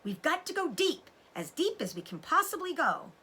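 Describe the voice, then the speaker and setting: A woman's voice: sits high, sing-song, normal speed, sharp tone, voice pushed up, exaggerated voice. A woman voicing a brave, commanding father fox in a storybook, with urgent, emphatic delivery.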